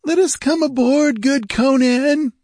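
A man's voice: very high-pitched, measured pace, darkish tone, dry recording, studio quality, pitched above the performer's own voice. A man voicing a battered, fearful pirate rogue in a fantasy audiobook, whining and pleading. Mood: whining, terrified. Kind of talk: dramatic fantasy audiobook character.

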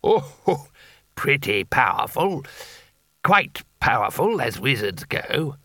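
A man voicing a portly, jovial male wizard who talks slowly in a hearty, patronizing way. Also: mid-range voice, very animated, sharp tone, dry recording, clean studio sound, heavily acted.

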